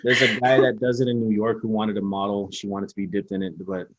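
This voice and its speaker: A man chatting as a guest in a casual interview, speaking in a laid-back, halting way with lots of restarts. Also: fairly low pitch, level tone, fairly bright tone, dry recording, poor audio. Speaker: interview guest, small business owner.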